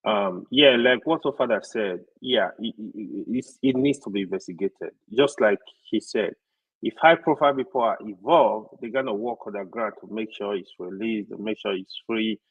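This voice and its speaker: A man with a Nigerian accent giving his opinion as a panelist, halting and repeating his phrases. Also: lowish voice, breathy edge, highs cut off.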